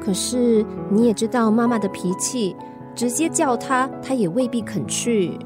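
A woman's voice: on the high side, unhurried, over music, close to an everyday voice. A woman playing a caring, cheeky young granddaughter in a radio drama, with a coaxing, pleading manner. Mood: earnest, worried.

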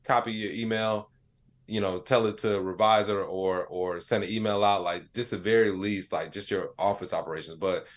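A man's voice: deep voice, normal speed. An American man chatting casually as a podcast interview guest, telling stories and voicing dialogue, with lots of "like" and "you know". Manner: animated storyteller, acts out quoted dialogue, peppers talk with "like" and "you know". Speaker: interview guest, AI educator and comedian.

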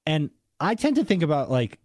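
A man's voice: a little high, very animated, normal speed, darkish tone, no echo, clean studio sound. A man with a slight Boston accent hosting a podcast in an enthusiastic, casual, conversational way. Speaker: podcast host. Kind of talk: casual interview chat.

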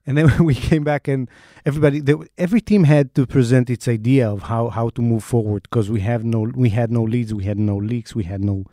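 Israeli man, mellow voice, dry sound. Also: medium pitch, steady tone, no echo. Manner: halting, with frequent restarts and repeated words. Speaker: investigative journalist. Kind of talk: conversational interview storytelling.

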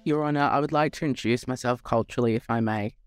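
A man's voice: mid-range voice, fast, soft background music. Earnest man hosting a podcast. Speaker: podcast host. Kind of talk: podcast intro and interview.

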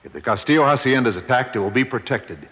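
An actor playing a resolute masked avenger in a 1950s radio western, dramatic and solemn. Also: male voice, medium pitch, expressive, fast talker, natural voice. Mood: grimly determined. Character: resolute male masked avenger of old California. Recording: dull, echoey 1950s radio recording.

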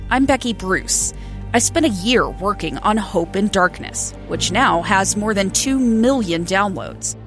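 A woman's voice: mid-range voice, lively intonation, unhurried, fairly bright tone, soft background music. A woman narrating a podcast promo in a scripted style.

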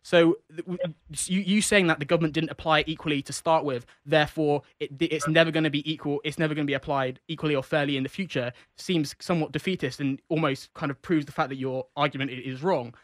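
A man with a Jamaican accent debating on talk radio, talking very fast and pressing his point with repeated words. His voice sits a little high and the sound is close and dry, with no room around it. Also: fairly even intonation, no room sound. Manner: insistent, interrupts, repeats words.

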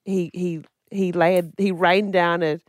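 A woman with an Australian accent co-hosting a morning radio show, chatty and quick-witted as she guesses quiz answers. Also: lowish voice, level tone, no echo, studio quality. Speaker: radio breakfast co-host. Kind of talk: casual on-air banter. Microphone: dry studio mic.

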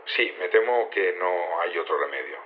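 Spanish rebel leader, dull radio sound. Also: male voice, low-pitched, animated, moderate pace, slight background noise. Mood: measured, resolute. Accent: Spanish (Spain). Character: male leader of a secret rebellion, explaining a plan. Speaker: actor in a fiction podcast. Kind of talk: naturalistic audio drama dialogue.

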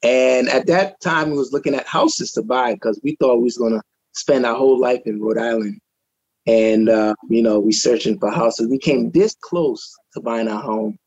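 A man from Trinidad, a guest on a talk show, relaxed in telling his own story with many fillers, speaking from his home over a video call. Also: mid-range voice, moderate pace, dry recording, lo-fi sound. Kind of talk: personal testimony in casual chat.

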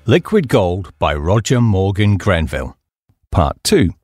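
A man's voice: sits low, sing-song, unhurried, music in the background. A middle-aged British man reading a humorous memoir as an audiobook, with a wry storytelling delivery. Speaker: audiobook narrator.

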